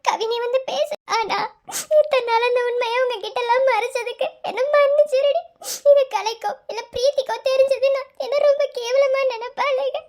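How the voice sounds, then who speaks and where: A woman's voice: very high voice, normal speed, darkish tone. A woman playing a distraught young pregnant woman in a melodrama, pleading tearfully and full of shame. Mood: frightened pleading.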